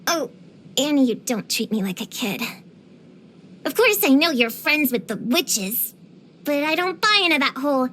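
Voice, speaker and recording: A woman's voice: high-pitched, a bit bright, slight background noise. A woman voicing an earnest, bookish young girl witch hunter in a video game, precocious, proud and slightly dramatic. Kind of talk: scripted game voice lines.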